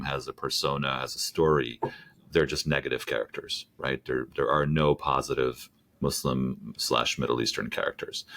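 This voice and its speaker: A man speaking as a guest in an interview, thoughtful and hedging, with frequent fillers and restarts. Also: rumbling low. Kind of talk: conversational interview.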